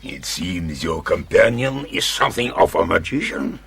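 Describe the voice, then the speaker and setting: An actor playing a suave, sardonic judge in a radio drama, a husky voice and mock-courteous, commanding menace. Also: male voice, low voice, very animated, moderate pace, a bit bright, heavily acted. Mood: cold menace. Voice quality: husky, gravelly.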